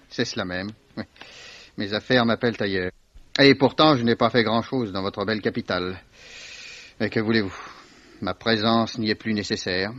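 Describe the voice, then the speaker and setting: Male actor, worldly Swiss salesman, low voice, wry and unhurried, vintage radio drama, muffled dry sound. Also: fairly even intonation, a bit bright, no echo, natural voice. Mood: wry, polite. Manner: sardonic, unhurried, repeats himself. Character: worldly, dismissive Swiss salesman, amused and evasive. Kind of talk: 1950s French radio mystery acting.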